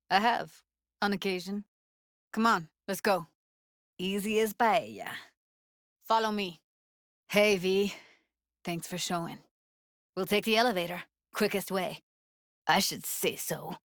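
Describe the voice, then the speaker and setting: A woman's voice: fairly low pitch, no echo, studio quality, lightly acted. A woman playing a blunt, guarded but tender young techie in a video game, speaking in casual, clipped slang with a natural delivery.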